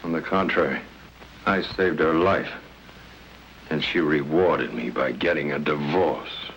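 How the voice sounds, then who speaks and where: A man playing a reclusive, bitter, fire-scarred divorcé with coldly formal, measured speech in an old radio mystery. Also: very deep voice, fairly bright tone, exaggerated voice. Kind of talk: 1940s-50s radio mystery acting. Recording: noisy old radio recording.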